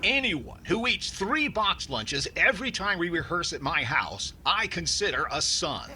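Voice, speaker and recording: A man's voice: sits high, big pitch swings, unhurried. Guy doing fussy cheapskate 40s radio comedian, defensive and exasperated, super swoopy voice, noisy recording. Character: fussy, stingy middle-aged male radio comedian, flustered and defensive. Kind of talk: 1940s radio comedy acting.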